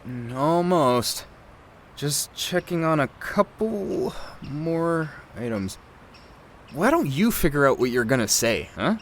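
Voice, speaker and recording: A man's voice: fairly high pitch, very animated, takes it slowly, a little hiss or hum, heavily acted. A man playing a fussy, exasperated podcast sound technician in naturalistic audio drama dialogue, informal and nagging.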